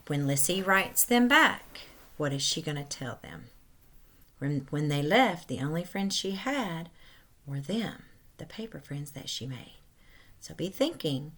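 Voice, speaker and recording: A woman teacher reading a storybook aloud to young children in a deliberate, instructive way with animated emphasis. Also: low voice. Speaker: first grade teacher.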